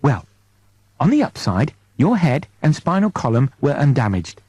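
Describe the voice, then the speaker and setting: A man's voice: medium pitch, big pitch swings, normal speed, darkish tone, slightly rough, dry recording. Man voicing a tactful, concerned female nymph medic. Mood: gently evasive. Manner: hesitant, careful, matter-of-fact.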